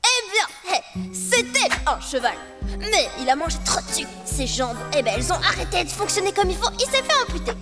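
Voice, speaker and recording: An earnest young cartoon boy, voiced by a woman, with an extremely high, animated voice. The delivery is childlike, over loud music. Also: fairly quick, heavily acted. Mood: eager, awkward. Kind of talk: animated cartoon fan dub.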